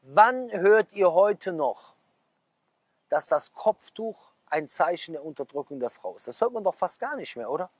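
A man in his thirties, a preacher, sitting at a garden table outside, reading out headlines and breaking into animated asides with questions to his listeners. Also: high voice, no room sound. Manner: animated, gesturing, quick asides with rhetorical questions. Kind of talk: casual talk, reading out magazine headlines. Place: outdoors at a garden table, sunny.

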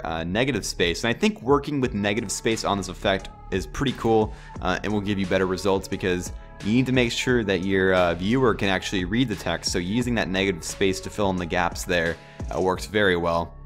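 A low-pitched man narrates a screen tutorial while sick, his voice nasal and cracking from a sore throat. He rambles in a relaxed, filler-heavy way, with faint music under him. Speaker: tutorial host. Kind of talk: casual screen-recorded software tutorial.

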